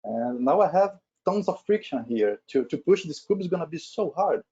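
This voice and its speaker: A man's voice: a little high, animated, normal speed, mellow, slightly rough. A middle-aged man from Brazil with a Brazilian accent, a native Portuguese speaker, presenting informally at a webinar and often checking in with "right?". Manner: conversational, frequently tags statements with "right?". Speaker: webinar speaker, agile leader at a retail company. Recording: dull lo-fi webinar audio.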